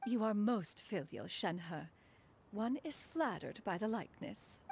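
A woman's voice: mid-range voice, animated, unhurried, vocal fry, a little mellow, a little hiss or hum. A woman voicing a dignified, imperious female crane immortal in human form in an anime game, with a haughty, archaic manner. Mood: pleased. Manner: haughty, archaic, calls herself "one".

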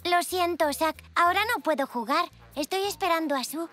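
An actress playing a gentle, friendly little girl zebra in a cartoon. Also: very high voice, moderate pace, faint music behind. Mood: apologetic, gentle. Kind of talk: cartoon dub acting.

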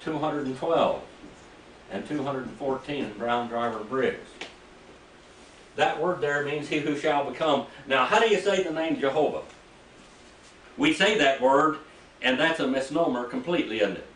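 A man's voice: mid-range voice, moderate pace, pauses often, a bit bright, slight background noise. An older man teaching a Bible class in a small church room, quizzing his listeners and reading scripture aloud. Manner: folksy, asks the class questions, reads scripture aloud. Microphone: room mic from a distance. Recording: echoey room, heard from a distance.

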